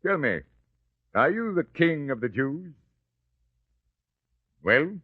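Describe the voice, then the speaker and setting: A haughty, uneasy Roman governor. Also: male voice, fairly high pitch, big pitch swings, slow pace, no room sound, heavily acted. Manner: haughty and condescending, then hesitant. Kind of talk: old-time radio drama acting. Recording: dry, dull lo-fi recording.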